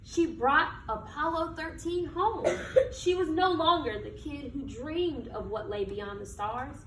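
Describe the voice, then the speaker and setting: A woman's voice: high voice, unhurried, fairly bright tone. A woman reading a children's picture book aloud with emphatic, dramatic phrasing and questions put to her listeners. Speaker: read-aloud storyteller. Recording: roomy echo, distant mic, background noise.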